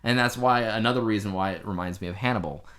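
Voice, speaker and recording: Low-voiced man chatting as podcast co-host. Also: quick pace, a bit bright. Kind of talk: casual chat reviewing a TV show.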